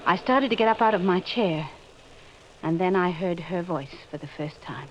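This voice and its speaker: A woman's voice: fairly low pitch, moderate pace, close to an everyday voice. An actress playing a confused, troubled wife searching her memory, in the melodramatic style of 1940s radio acting. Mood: haunted, brooding. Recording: muffled, noisy old radio recording.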